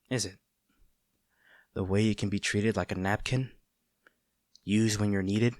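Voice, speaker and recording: A man playing a conflicted heroic captor in a dramatic audio roleplay, earnest and halting, tender toward an old friend turned enemy. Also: lowish voice, dry recording, studio quality, natural voice. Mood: somber. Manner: earnest, halting, formal wording with dramatic pauses.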